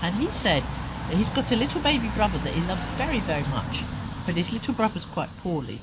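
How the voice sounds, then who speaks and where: A woman telling a children's story expressively, with dramatic pauses and chatty asides. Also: medium pitch, sing-song, very noisy.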